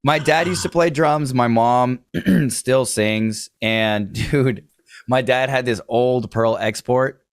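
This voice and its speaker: A man's voice: mid-range voice, slow, no room sound, clean studio sound. A man, chatting casually as a drummer guest on a video call, talkative and friendly with many fillers and restarts. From Houston, Texas. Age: late 20s. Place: home music studio room.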